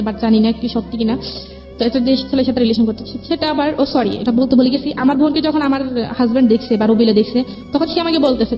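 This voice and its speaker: A young woman tells her own life story as an interview guest, rambling, repeating herself and quoting conversations. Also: sits high. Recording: dull lo-fi audio with music behind.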